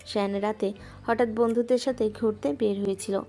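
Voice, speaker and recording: A woman gently narrating a scripted romantic audio story. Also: medium pitch, steady tone, moderate pace. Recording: music bed underneath.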